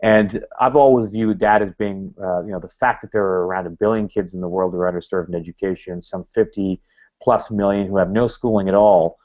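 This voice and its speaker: A man's voice: deep voice, steady tone, brisk. A man giving a talk to a club, rambling with many fillers and restarts. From Canada. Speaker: guest speaker. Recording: dull lo-fi recording.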